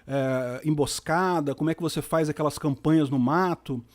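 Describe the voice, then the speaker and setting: A man's voice: a little high, normal speed, darkish tone. A man in his fifties from Brazil with a Rio de Janeiro accent, chatting casually and answering viewer questions on a live stream, rambling with many restarts and slangy asides. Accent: Rio de Janeiro Portuguese. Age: 50s. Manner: rambling with frequent restarts and fillers; informal, uses slang and mild swearing.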